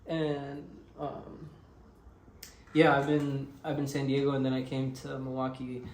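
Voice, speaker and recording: A man's voice: medium pitch, monotone, measured pace, darkish tone, roomy sound, a little hiss or hum, rough recording. A young man from Mexico answering interview questions in a laid-back, rambling way with many fillers. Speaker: interviewed musician.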